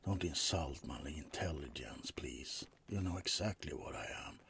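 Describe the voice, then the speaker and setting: A man playing a suave, teasing vampire in a soft, often whispered bedside ASMR roleplay, murmuring with dramatic pauses. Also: extremely low pitch, expressive, creaky, noisy background. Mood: wry, amused.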